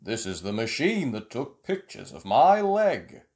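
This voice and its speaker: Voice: medium pitch, sing-song, measured pace, a little mellow. A storyteller voicing a cheerful, good-natured young animal patient from a children's book, with emphatic delivery. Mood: helpful.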